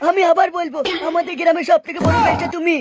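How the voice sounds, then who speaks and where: A woman voicing a foolish, excitable village man in a comic cartoon, blustering and repeating himself. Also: very high-pitched, very fast, over loud music. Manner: repetitive, blustering.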